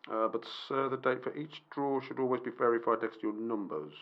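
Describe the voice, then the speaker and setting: A man's voice: medium pitch, a little fast, muffled recording, lightly acted. A man acting in an amateur radio play as a polite, formal customer service clerk, hesitant and apologetic.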